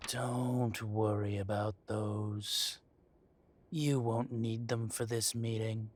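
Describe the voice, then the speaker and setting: A man playing an arrogant dragon mafia boss in an audio roleplay, speaking deliberately with mock politeness and quiet threat. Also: fairly low pitch, level tone. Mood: smug, casual. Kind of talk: scripted roleplay audio drama monologue.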